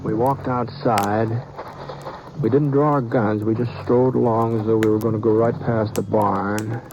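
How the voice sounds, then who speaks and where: Calm drawling gunman narrator, low voice, hissy old radio. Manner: slow, drawling, understated. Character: drifting male gunman, calm and plainspoken. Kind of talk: 1950s radio drama narration. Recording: old radio broadcast with hiss.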